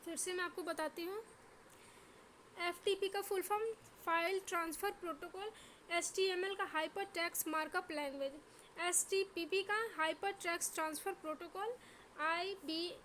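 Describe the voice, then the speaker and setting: A woman's voice: extremely high pitch, a bit bright, a little hiss or hum. A woman teacher with a sore throat, drilling exam terms in a lesson by asking each question and then answering it.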